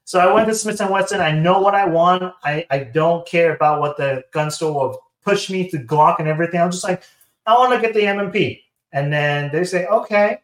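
A man's voice: high-pitched, fairly quick. A man from Taiwan with a Taiwanese accent, chatting casually as an interview guest and acting out dialogue as he tells stories. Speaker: guest, sponsored competitive shooter. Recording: roomy, echoing sound.

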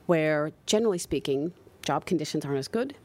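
American woman in her forties, lowish voice, patient explainer who checks in with "right?", interview guest. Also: expressive, a little fast, a little mellow. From United States. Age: 40s. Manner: explanatory, often adds "right?". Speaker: guest researcher. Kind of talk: interview answers.

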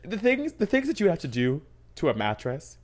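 A woman's voice: on the low side, wildly swooping pitch, a little fast, a little mellow. A woman in her twenties co-hosting a podcast, chatting in a brash, swearing, comedic way. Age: 20s. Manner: brash, swears a lot, goes dramatic and squealy for laughs. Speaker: podcast co-host. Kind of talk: casual comedic chat.

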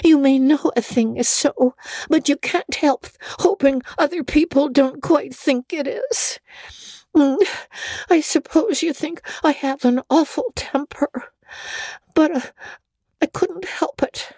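A woman's voice: sits high, takes it slowly, a little mellow, no echo, pitched above the performer's own voice. A woman voicing a tearful, defiant young girl in a dramatic storybook reading, pleading and wailing with wounded pride.

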